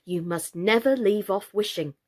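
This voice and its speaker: A woman's voice: mid-range voice, moderate pace, darkish tone, natural voice. A woman voicing a firm, insistent mother doll in a children's storybook.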